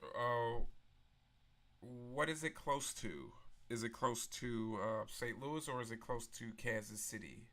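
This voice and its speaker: A man's voice: mid-range voice, lively intonation, slow pace, fairly bright tone. A man from Memphis, hosting a live stream and chatting casually as he answers viewers' messages. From Memphis, Tennessee. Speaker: livestream host.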